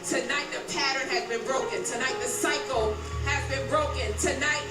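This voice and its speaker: A woman's voice: high-pitched, steady tone, normal speed. A woman preacher delivering a fervent sermon in repeated, chant-like declarations. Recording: echoey, distant, loud music behind.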